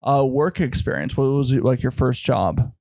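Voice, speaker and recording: A man co-hosting a comedy podcast, firing off quick teasing questions in casual chat. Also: mid-range voice, dark tone, no room sound. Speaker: podcast co-host.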